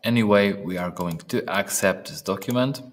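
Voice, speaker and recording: A man narrating a step-by-step software training demonstration, speaking haltingly with pauses in mid-phrase. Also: lowish voice. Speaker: software trainer presenting a tutorial.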